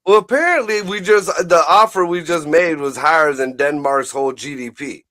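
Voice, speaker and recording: A man's voice: a little high, moderate pace, fairly bright tone, no room sound, clean studio sound. A man chatting casually about politics as a show host, blunt, profane and rambling.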